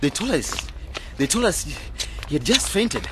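Radio drama part: anxious go-between, high lively voice, stammered pleas, noticeable background noise. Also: male voice, normal speed. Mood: reassuring. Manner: nervous, stammering repetitions. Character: anxious, pleading male peacemaker friend.

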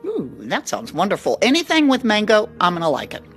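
Woman radio host, chatty, lively intonation, faint music bed. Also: mid-range voice, a little fast.

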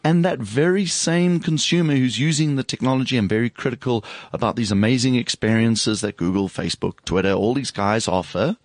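A man with a hoarse, husky voice, speaking as a guest on a studio radio show, reflective and hesitant, with many fillers. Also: medium pitch, a little fast, hardly any pauses, no room sound. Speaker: bank executive guest. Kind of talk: casual radio chat.

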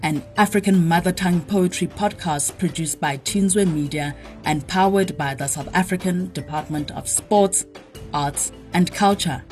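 A woman with a low voice reads scripted podcast introductions in a clear announcing manner. Faint music plays underneath her. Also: unhurried, darkish tone. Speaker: podcast host. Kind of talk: scripted narration.

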